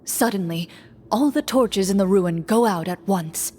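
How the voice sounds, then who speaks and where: A woman voicing a calm, formal female game master who narrates a roleplay in a video game. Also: mid-range voice, a little hiss or hum, close to an everyday voice. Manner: measured, formal.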